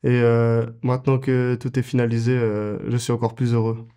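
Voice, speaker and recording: A man's voice: medium pitch, monotone, normal speed, mellow, clear, resonant tone. A man in his twenties, a goalkeeper answering interview questions casually, with many fillers and restarts. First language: French. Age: 20s.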